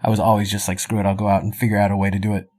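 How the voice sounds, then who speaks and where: A man's voice: on the low side, flat delivery, very fast, a little mellow. A man hosting a podcast interview, talking in a chatty, rambling way with many fillers. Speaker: podcast host. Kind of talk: casual interview chat.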